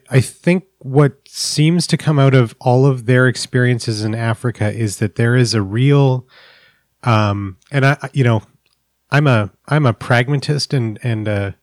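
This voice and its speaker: A man's voice: medium pitch, dry recording. A man hosts a casual interview and speaks thoughtfully, hesitating and correcting himself as he goes. His voice sits in a mid range and sounds close and dry, with no room around it. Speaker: host, interviewer.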